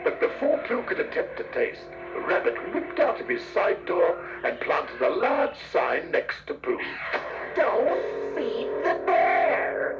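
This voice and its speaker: A man's voice: high-pitched, creaky, distant mic. A man narrating a scripted children's story as the storyteller. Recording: dull cassette, roomy, music behind.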